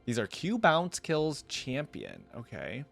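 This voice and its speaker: Lively casual man reacting. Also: mid-range voice, slow, gravelly, soft background music. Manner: echoes lines, easily amused. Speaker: reaction video host.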